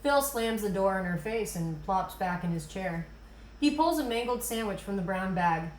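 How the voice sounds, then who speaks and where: A woman reads stage directions as the narrator of a script read. Her pitch is medium, and the room adds clear echo. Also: expressive, normal speed, a little hiss or hum. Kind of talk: reading screenplay action lines. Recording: echoey room recording.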